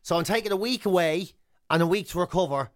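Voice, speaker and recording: A man with an Irish accent hosting casual, comic radio banter, telling stories in an animated way and repeating himself for emphasis. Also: high voice, quick pace, dry recording, clean studio sound. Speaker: radio show host. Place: radio studio. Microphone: close broadcast microphone.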